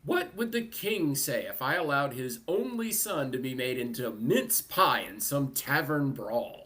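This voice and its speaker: A man reading as a stern female warrior from a comic book. The pitch is mid-range for a man, and the phrasing is old-fashioned, chiding and exasperated. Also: lively intonation, unhurried, fairly bright tone. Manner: archaic, scolding phrasing. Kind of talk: dramatic comic book table read.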